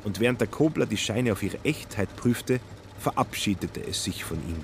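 A man's voice: lowish voice, animated, normal speed, a little hiss or hum. A man narrating a literary radio play with dry, ironic storytelling.

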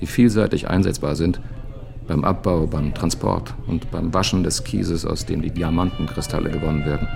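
Narrator, male: very low, gravelly, measured and matter-of-fact delivery of scripted literary prose. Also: fairly even intonation, over music.

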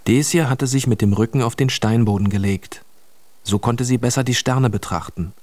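A man's voice: medium pitch, moderate pace, a little mellow, no room sound. A man narrating a radio play as a scripted storyteller.

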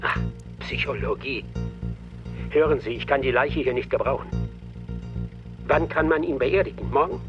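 A man's voice: mid-range voice, normal speed, pauses often, muffled recording, music in the background, natural voice. A man playing a brusque, impatient professor in a radio crime drama. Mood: impatient, irritated.

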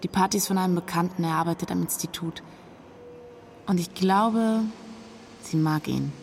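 A woman's voice: lowish voice, normal speed, vocal fry, darkish tone, slight background noise. An actress playing an eager young girlfriend in a radio drama, talking in a chatty, casual, naturalistic way. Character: young woman academic, eager, talkative girlfriend.

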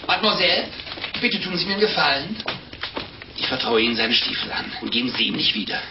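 Unctuous fatherly monk, animated, pious, fussy, chuckling, old radio play, noisy dull recording. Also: on the high side, quick pace. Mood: jovial, fussy. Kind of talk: German radio play acting.

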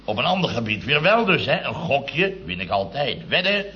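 An actor playing a grumbling middle-aged building contractor boss who spins chatty anecdotes in a vintage radio comedy. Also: male voice, mid-range voice, big pitch swings, normal speed, pushed and bright. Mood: puzzled grumbling. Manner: chatty, grumbling anecdote telling with tag words. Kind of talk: 1960s-70s Dutch radio comedy acting. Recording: dull vintage radio recording.

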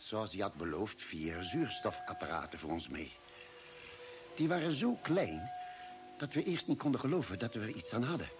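A man acting a thoughtful ship's doctor who narrates an expedition in a radio drama. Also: on the low side.

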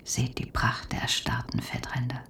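An actress playing an eerie figure who recites bleak, bitter poetry in a breathy whisper in a radio play. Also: female voice, rumbling low, completely flat delivery, normal speed, fairly bright tone, distant mic, heavily acted. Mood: bleak, brooding. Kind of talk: slow poetic radio drama recitation.

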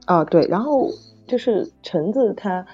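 A middle-aged woman author chatting casually about writing, halting and repeating phrases as she searches for words. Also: medium pitch, animated. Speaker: novel author. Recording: dull lo-fi audio, music underneath. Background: background music.

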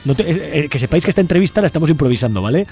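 A man's voice: a little high, expressive, rapid-fire, warm tone. Man, radio host, informal improvised interview, chatty, faint music behind.

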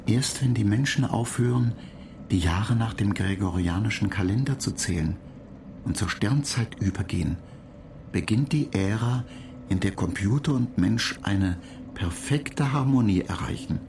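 Courteous radio-drama computer, matter-of-fact explainer, creaky tone, distant mic with background noise. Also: male voice, lowish voice, lively intonation, unhurried. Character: courteous male onboard computer explaining things.

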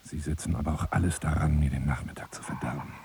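Lonely eccentric romantic, very low pitch, dark mellow tone, florid, theatrical, hushed asides, radio drama. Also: male voice, fairly even intonation, quick pace, slight background noise. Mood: offended, wounded. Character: lonely, eccentric romantic braggart wooing a woman by telephone. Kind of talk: dramatic monologue in a radio play.

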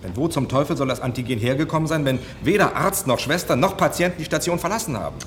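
A man's voice: on the high side, lively intonation, fast talker, a bit bright, a little hiss or hum. A man acting an eager, impatient hospital doctor in a German radio drama. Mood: impatient, troubled. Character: man, eager hospital doctor defending his allergy theory.

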